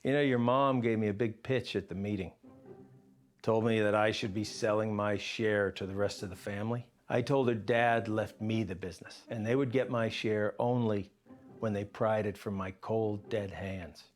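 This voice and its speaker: An actor playing a weary, dying middle-aged uncle in an interactive film, wry, urgent and informal. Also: male voice, fairly low pitch, steady tone, normal speed, lightly acted. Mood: wry, bitter. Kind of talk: naturalistic film dialogue. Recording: background music under the voice.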